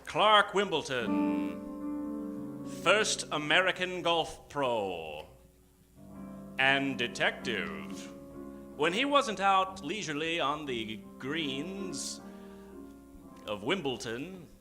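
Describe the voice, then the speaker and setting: A man hosting a live old-time radio show as a grandiose, theatrical announcer with dramatic pauses. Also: on the high side, big pitch swings. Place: theater stage, before an audience. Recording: live stage show with music behind. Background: music.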